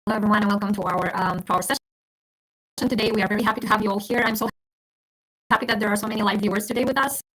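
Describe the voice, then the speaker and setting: A woman's voice: medium pitch, fairly even intonation, very fast, pauses often, a bit bright, breathy edge, low-quality recording. A woman education advisor hosting a live webinar, friendly and talking with many fillers and restarts.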